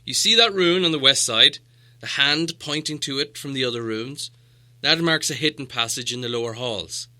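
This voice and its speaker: A man's voice: mid-range voice, normal speed, bright, forceful tone, pitched above the performer's own voice. A man voicing a stern, commanding old wizard in a storybook reading, emphatic and authoritative. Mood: explaining.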